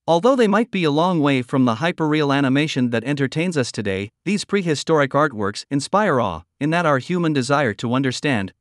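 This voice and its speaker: Guy narrating a documentary, kinda higher voice, steady measured read, dry close mic. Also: dry recording.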